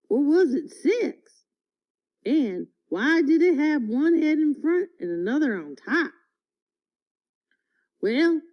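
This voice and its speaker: Female storyteller, very lively intonation, unhurried folksy read-aloud, slightly muffled lo-fi sound. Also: high voice. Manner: expressive, deliberate storytelling with folksy phrasing. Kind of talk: animated read-aloud of a folksy tall tale. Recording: dull, lo-fi audio.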